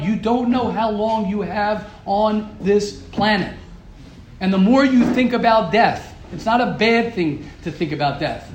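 A man's voice: sits high, roomy sound, a little hiss or hum. A man teaching a Torah class to a live group, emphatic and repetitive, asking rhetorical questions. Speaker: rabbi giving a class. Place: room with a live audience.

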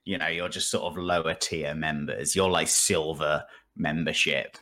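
A man's voice: very deep voice, fairly even intonation, normal speed, sharp tone. A man chatting casually on a video game podcast, with a jokey, teasing manner and dry mock grandeur. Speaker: podcast guest. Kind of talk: casual podcast banter about video games.

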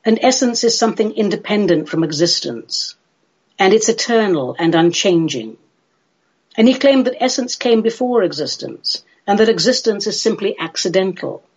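A dull, lo-fi radio recording of a woman with a London accent. Her voice is husky and sits at a middling pitch. She hosts alone, chatting in a droll, playful and theatrical way. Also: lively intonation, normal speed. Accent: London English. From London, England. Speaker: radio show host. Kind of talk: chatty solo talk show monologue.

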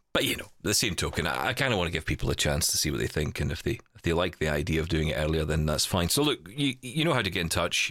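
A man with a very low voice that moves around a lot in pitch. He hosts a casual podcast, rambling and doubling back on words in a jokey, teasing way. The recording is close and dry. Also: fairly bright tone, dry recording. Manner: rambling, repeats words to get going. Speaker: podcast host. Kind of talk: casual chat.

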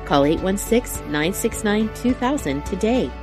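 A woman narrates a scripted radio spot in a medium-pitched voice. Music plays clearly behind her. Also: lively intonation, unhurried. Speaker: announcer.

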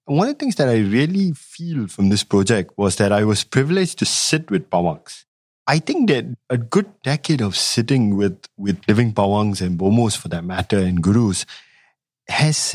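A man's voice: on the low side, very animated, moderate pace, husky, dry recording, clean studio sound. A man from Singapore, an academic researcher answering interview questions thoughtfully, with frequent restarts. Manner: thoughtful, many restarts and hedging fillers.

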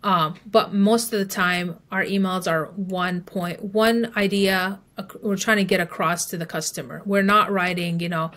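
A woman's voice: mid-range voice, fairly even intonation, moderate pace, few pauses, fairly bright tone. A woman speaking as an expert guest in a podcast interview, explaining advice conversationally. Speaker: email marketing expert guest.

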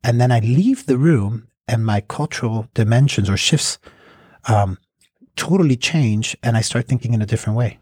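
A man's voice: mid-range voice, animated, normal speed, darkish tone, husky, no echo, studio quality. A middle-aged man from the United States, thoughtfully reflecting in an interview. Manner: thoughtful, reflective, with small restarts. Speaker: cross-cultural mediator. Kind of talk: interview answers.

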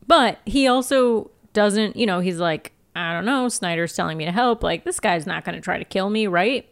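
A woman's voice: mid-range voice, normal speed, creaky, smooth. Casual, wry woman podcast co-host. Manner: informal, wry, sweary storytelling. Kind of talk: casual TV episode recap chat.

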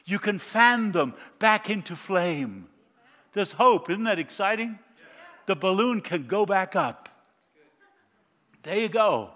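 A man's voice: high-pitched, animated, slow pace, pauses often, sharp tone, long reverb tail. A man from Argentina preaching a sermon as a guest, mixing jokes and personal stories into his message. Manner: jokey, anecdotal.

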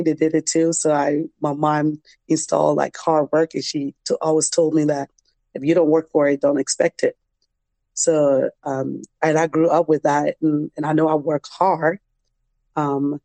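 A woman's voice: low voice, mellow, dry recording. A young adult woman, telling personal stories in a reflective, conversational interview style. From Liberian, grew up in a refugee camp in West Africa. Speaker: interview guest, dance program founder.

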